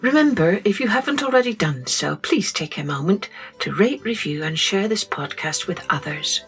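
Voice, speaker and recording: A woman narrating a scripted children's story as a podcast host, with expressive, deliberate delivery. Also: mid-range voice, faint music behind.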